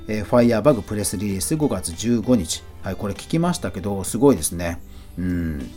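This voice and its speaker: A man's voice: on the low side, sing-song, fast talker, mellow. A man hosting a solo news roundup podcast, talking in a chatty, conversational way and reacting aloud to each item. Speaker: podcast host and YouTuber. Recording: music playing behind the voice. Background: guitar music.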